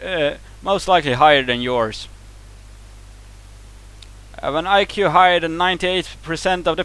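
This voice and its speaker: A man's voice: fairly high pitch, a bit bright, clean tone, poor audio. A man with a Swedish accent whose first language is Swedish, ranting angrily to camera, heated and profane, often stumbling and restarting words. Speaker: vlogger reacting to a video. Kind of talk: angry rant to camera.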